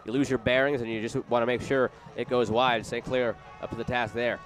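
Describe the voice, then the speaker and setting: Male color commentator, quick pace, medium pitch, conversational soccer analysis, explanatory, live radio, outdoor stadium sound behind. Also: level tone, soft background music.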